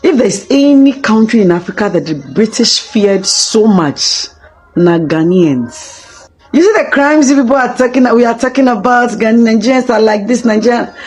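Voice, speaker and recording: A woman's voice: on the high side, lively intonation, normal speed, darkish tone, slightly rough, soft background music, low-quality recording. A woman giving a casual, emphatic opinion monologue, repeating her points for effect.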